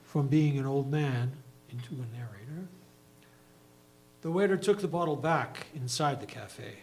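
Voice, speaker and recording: A man's voice: medium pitch, measured pace, a little mellow, slight background noise, rough recording. A man giving scripted literary narration in a reader's theatre performance.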